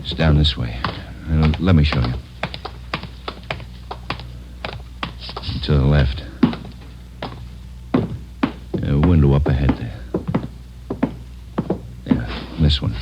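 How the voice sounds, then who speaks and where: Low-voiced, pleading American prisoner. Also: male voice, steady tone, slow pace, long pauses, muffled recording, heavy background noise. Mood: subdued. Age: 30s. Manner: pleading, hesitant. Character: anxious, pleading male hijacking suspect in handcuffs. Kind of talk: 1950s radio crime drama acting. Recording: vintage radio recording with music behind.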